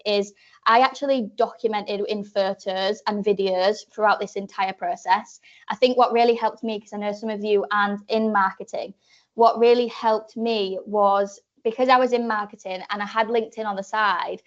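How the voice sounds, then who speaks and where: A woman's voice: medium pitch, lo-fi sound. Chatty young Yorkshire woman presenting. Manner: chatty, rambling, with filler words and restarts. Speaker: presenter giving a talk to apprentices. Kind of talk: informal presentation.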